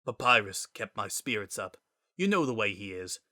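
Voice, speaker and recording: A man voicing a wry, laid-back skeleton who hides his grief behind casual, halting, self-deprecating talk in a story reading. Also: lowish voice, very animated, bright, forceful tone, heavily acted. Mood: regretful. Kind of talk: dramatic fan-fiction reading.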